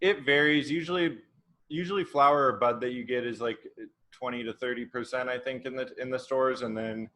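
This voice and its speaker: A man of thirty from Oregon, a podcast guest, chatting in a relaxed, rambling way with many fillers. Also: mid-range voice, fairly quick. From Oregon, USA. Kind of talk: casual chat.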